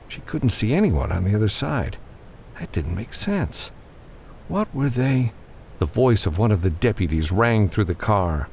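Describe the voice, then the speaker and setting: A man's voice: fairly low pitch, a little mellow, a little hiss or hum. A man narrating scripted action fiction as an audiobook reader, in a dramatic storytelling style.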